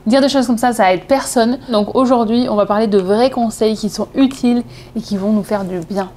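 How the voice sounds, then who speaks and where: A woman's voice: fairly high pitch, moderate pace, over music. A woman in her thirties from southeast France with a French accent, telling personal stories in a chatty, digressive vlog style, often correcting herself. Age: 30s. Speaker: vlogger. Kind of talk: casual personal storytelling to camera.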